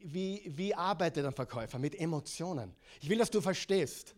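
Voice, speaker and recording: Austrian guy preaching, pretty high voice, lively, gets the crowd to say words back. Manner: lively preaching, asks the congregation to repeat words. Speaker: pastor. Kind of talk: sermon.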